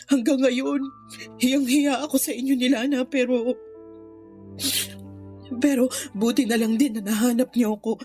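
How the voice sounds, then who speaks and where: A woman acting a destitute, guilt-ridden mother in a radio drama. Her high voice is tearful and pleading, crying as she confesses her shame, with music playing underneath. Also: dark tone, lightly acted. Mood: ashamed, remorseful. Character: remorseful impoverished mother, a runaway aunt, ashamed and grieving.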